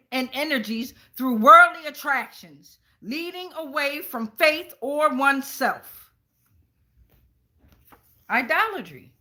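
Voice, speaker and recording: American woman, higher voice, sharp bright tone, casual tarot reader, slangy, profane, rambling. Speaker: tarot reader, channel host. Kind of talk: casual live tarot reading.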